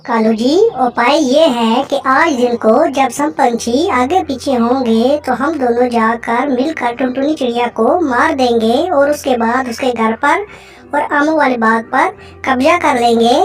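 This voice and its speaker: Woman voicing a scheming, high-pitched female crow. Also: unhurried, faint music behind. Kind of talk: Hindi cartoon moral story dialogue.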